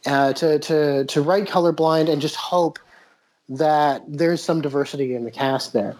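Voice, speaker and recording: A man's voice: on the high side, steady tone, measured pace, a little mellow. A man from the United States, a podcast host and playwright, telling stories animatedly with many "you know" fillers. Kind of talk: casual interview talk.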